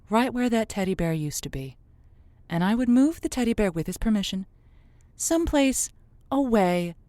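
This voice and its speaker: A woman playing a blunt but reassuring call-in advice host in a semi-improvised audio drama, speaking deliberately and emphatically. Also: mid-range voice, warm tone, no room sound, lightly acted.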